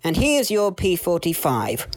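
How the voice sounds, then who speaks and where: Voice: mid-range voice, wildly swooping pitch, a little slow, dry recording, pitched above the performer's own voice. Narrator acting a steely, officious ghost boss lady. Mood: smug authority. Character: stern, assertive female accountant turned ghostly boss.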